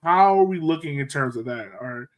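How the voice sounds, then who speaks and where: A man's voice: on the high side, animated, normal speed. A man hosting a podcast, asking informal, meandering questions in a casual chatty style. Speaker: podcast host.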